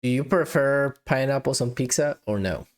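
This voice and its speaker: A man's voice: mid-range voice, moderate pace. A man hosting a casual podcast interview, reading out listener questions in a playful, joking way. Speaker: podcast host.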